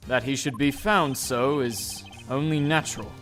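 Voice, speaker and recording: A man's voice: medium pitch, measured pace, fairly bright tone, close to an everyday voice. Guy voicing a cold stuck-up prosecutor, formal and clipped, game fan dub, faint music behind. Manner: stiff, formal, clipped delivery. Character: cold, haughty rival prosecutor, adult man. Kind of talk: visual novel fan dub.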